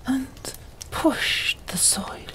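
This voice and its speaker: Whispering woman reading a story very slowly. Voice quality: breathy, whispered. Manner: hushed, deliberate, pausing between short phrases. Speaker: audiobook narrator. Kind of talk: whispered ASMR storytelling, scripted narration.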